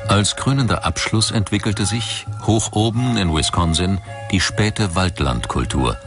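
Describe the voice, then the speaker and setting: A man narrating a scripted documentary in a measured, articulate manner. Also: sits low, music in the background.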